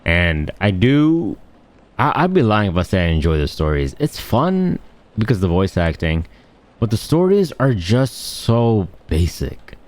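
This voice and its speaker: A man with a low voice hosts a gaming video. He talks off the cuff in a blunt, slangy style, rambling on with crude turns of phrase. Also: sing-song, measured pace, darkish tone. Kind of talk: casual, unscripted gameplay commentary.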